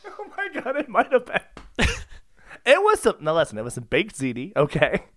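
Excitable, high-voiced man telling comic stories. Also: moderate pace. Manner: giggly, excitable, protesting. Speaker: podcast co-host. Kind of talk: casual comedic storytelling.

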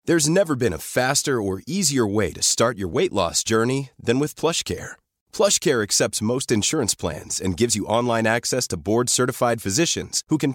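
A man's voice: medium pitch, dry recording, studio quality. A man reading a scripted advertisement voice-over. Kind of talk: scripted ad narration.

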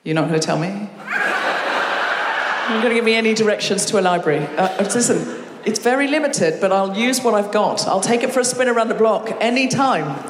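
A woman's voice: mid-range voice, animated, background noise. Teasing woman doing stand-up in a hall. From Australia. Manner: quick, teasing, chatty with audience. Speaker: comedy podcast host. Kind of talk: live stand-up crowd work. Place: large concert hall with live audience. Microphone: stage microphone. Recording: roomy hall with long reverb.

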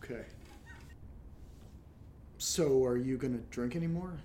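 A man's voice: medium pitch, unhurried, mellow, natural voice. A man acting in a horror audio drama as an awkward, reserved friend, with a hesitant, understated, dryly questioning delivery.

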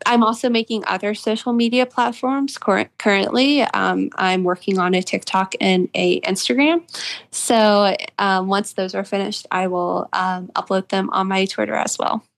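A woman speaking casually and a little haltingly as an author being interviewed. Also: mid-range voice. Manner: hesitant, halting.

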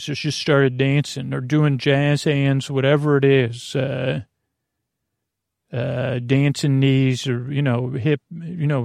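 A male podcast host with a mid-pitched, heavily creaky voice talks in a rambling bedtime monologue. He is soothing and lulling, wandering off on tangents and cutting into his own sentences. The recording is dry and close to the mic. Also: darkish tone, no room sound. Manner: meandering, tangential, self-interrupting.

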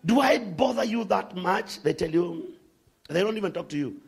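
A man preaching a sermon to a congregation, animated, swinging from shouts to hushed asides. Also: sits high, normal speed, creaky, slightly rough.

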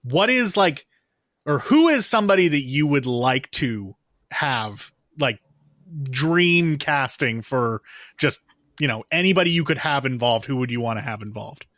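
A man's voice: mid-range voice, lively intonation, a little slow, a bit bright, no room sound. Man hosting casually, dry close sound. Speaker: interviewer, show host. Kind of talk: casual interview chat.

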